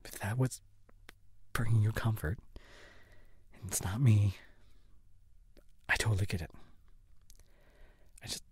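A man acts out a guilt-ridden boyfriend in an intimate audio drama. His voice is husky and breathy, slipping toward a whisper, and he stammers as he earnestly pleads. It is recorded very close and dry. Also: on the low side, a little mellow, dry recording. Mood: resigned, sorry. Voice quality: breathy, often near a whisper. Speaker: audio roleplay voice actor. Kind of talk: intimate boyfriend audio roleplay, acted monologue. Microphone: close studio condenser microphone.

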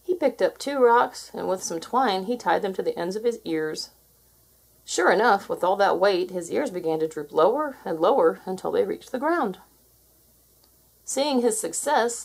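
A woman reading a children's story aloud in an expressive style. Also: mid-range voice, moderate pace, gravelly, husky, low-quality recording. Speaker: storybook reader.

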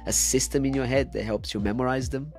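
A man's voice: mid-range voice, steady tone, a little fast, faint music behind. A man in his thirties from Brazil, asking casual interview questions as host into a close microphone. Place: small indoor home studio. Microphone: close desk microphone.

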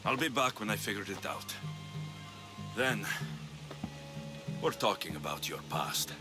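A determined young mercenary hero from a video game, speaks in a low register with very mobile intonation. He is curt and purposeful, with clear music running underneath. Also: lively intonation, unhurried. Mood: determined, probing. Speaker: playable protagonist. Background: background music.

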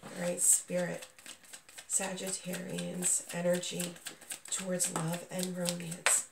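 Lady tarot reader chatting to viewers, gravelly fry, bit sick sounding, echoey room. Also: lowish voice, completely flat delivery, slow pace, very noisy. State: getting over being sick, voice not at full strength. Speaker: tarot reader, channel host. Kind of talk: casual spoken tarot reading. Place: indoors, small dim room at a table. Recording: roomy echo, distant microphone.

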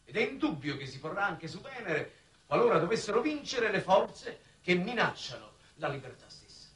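A man's voice: sits high, very animated, moderate pace, fairly bright tone, far from the mic. An actor playing an earnest, insistent statesman in a 1960s Italian television drama, speaking in a formal, oratorical way. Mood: pompous. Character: earnest, insistent diplomatic statesman on a space mission. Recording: echoey, noisy old 1960s TV soundtrack.

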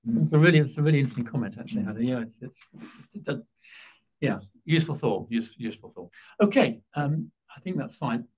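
A man's voice: medium pitch, animated, fast, darkish tone, breathy edge, low-quality recording. An English man chairing a formal board meeting in a large boardroom, courteous and lightly self-deprecating. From England.

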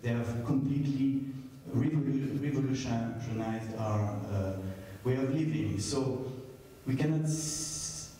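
A man's voice: mid-range voice, slow, few pauses, mellow, a little hiss or hum. A man in his fifties with a French accent, moderating a stage talk into a handheld mic, hesitant with many fillers. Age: 50s. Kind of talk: public stage conversation. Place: large theater stage. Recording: echoey hall from far off.

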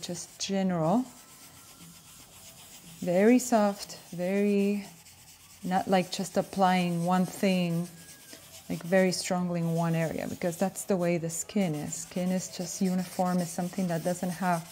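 Soft-spoken woman with an Argentine accent. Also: fairly low pitch, a little hiss or hum. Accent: Argentine Spanish. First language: Spanish. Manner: chatty, gentle, searching for words. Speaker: art tutorial host. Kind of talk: casual drawing tutorial. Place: indoor room at home.

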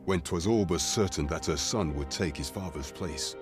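A man plays a grave court informant in a fantasy game. His voice is very deep, his phrasing measured, formal and archaic. Music plays clearly behind him. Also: close to an everyday voice. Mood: grave, confiding. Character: grave male royal informant, solemn and deferential.

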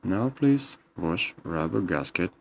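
A man with an extremely low voice narrates a scripted repair guide. He speaks slowly, in short step-by-step instructions. Some noise sits behind his voice. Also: expressive, vocal fry. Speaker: repair technician.

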